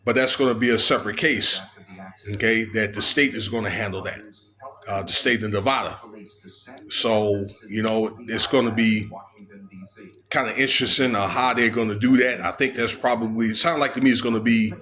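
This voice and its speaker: An American man giving informal, unscripted commentary on legal news, halting as he goes and often checking in with the listener. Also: on the low side, fairly even intonation, sharp tone. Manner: halting, with frequent checking tags. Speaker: video commentator.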